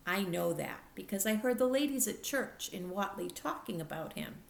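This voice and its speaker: A woman's voice: medium pitch, expressive, moderate pace, gravelly, reverberant. A woman voicing an earnest, lonely ten-year-old girl who confides and pleads emphatically in a storybook. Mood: wistful.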